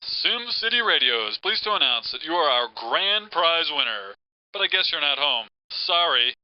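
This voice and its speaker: A man's voice: on the high side, sing-song, normal speed. An actor playing a showy male radio announcer making a prize call in a game. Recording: noisy lo-fi game audio with clicks.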